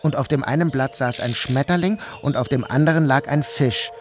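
Man, audiobook narrator, measured, subdued, mid pitch, faint music behind. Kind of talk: literary first-person reading.

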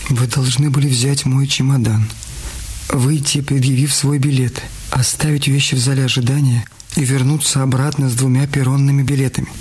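A man's voice: mid-range voice, flat delivery, normal speed, darkish tone, breathy edge, natural voice. A man playing a naive, trusting young man on the run in a radio drama. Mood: impatient. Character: Daniel, naive young runaway man, trusting, easily disappointed.

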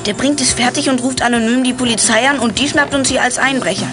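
A woman playing a bold, impatient teenage detective in a children's radio play, brisk and eager. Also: on the high side, loud music behind the voice, close to an everyday voice. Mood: determined. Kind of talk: 1980s German children's radio play acting.

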